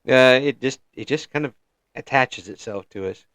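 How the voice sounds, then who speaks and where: A man's voice: mid-range voice, moderate pace, fairly bright tone, no echo. Older man from Illinois, friendly interviewer, dry close sound, mid-range voice. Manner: friendly, chuckling, folksy asides. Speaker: interview host. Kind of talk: casual interview chat.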